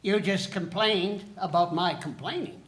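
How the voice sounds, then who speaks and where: A man reading a grumpy old man at a live table read, blunt and shouty with insults. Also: sits high, unhurried, slight background noise. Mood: smug. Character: grumpy old man, loud cranky complainer. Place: festival stage.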